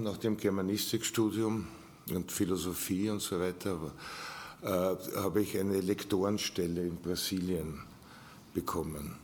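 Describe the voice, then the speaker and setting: An older grandfather and author speaking reflectively at a panel evening, measured and given to chuckling. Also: lowish voice, darkish tone, slight background noise. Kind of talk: panel discussion at a reading.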